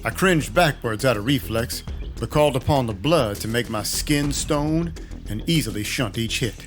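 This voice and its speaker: A man's voice: mid-range voice, lively intonation, normal speed, natural voice. A man plays a brooding vampire detective telling his own story in a noir audio drama. He is wry and controlled, politely menacing, with a prominent music bed underneath. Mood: wry, grim narration. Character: brooding sardonic male vampire detective, protective of animals. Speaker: narrator and lead character. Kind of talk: first-person noir-style audio drama narration.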